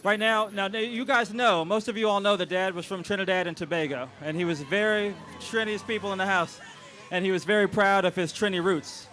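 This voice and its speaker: A man's voice: sits high, fairly quick, hardly any pauses, pushed and bright. A man emceeing an outdoor community celebration, hyping up the crowd as he introduces speakers. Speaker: master of ceremonies. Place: outdoors on a city street.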